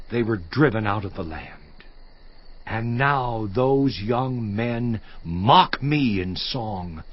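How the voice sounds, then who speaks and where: An actor playing a suffering, once-honored biblical patriarch in a dramatized scripture monologue, theatrical and declamatory with long pauses. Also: male voice, medium pitch, dull audio. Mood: bitter scorn, then anguished lament. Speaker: actor in a dramatized audio Bible.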